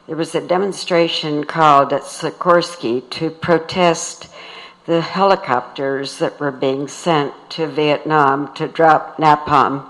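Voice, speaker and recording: An older woman speaks in a low voice at a slow, unhurried pace. She recounts memories plainly and matter-of-factly, as an activist addressing a gathering. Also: rough recording. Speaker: peace activist speaker at a tribute. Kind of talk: plain spoken reminiscence to an audience.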